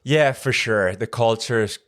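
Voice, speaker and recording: A man in his thirties from Northern Italy, speaking English with an Italian accent as a podcast guest, measured and full of fillers. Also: medium pitch, fairly bright tone. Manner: measured, with frequent fillers and restarts. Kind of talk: conversational interview.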